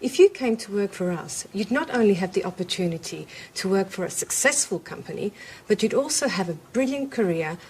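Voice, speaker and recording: An actress playing a confident, persuasive businesswoman, formal and smoothly pushy in scripted dialogue. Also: mid-range voice, animated, a little fast, a little mellow, a little hiss or hum, close to an everyday voice, rough recording. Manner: formal, assured.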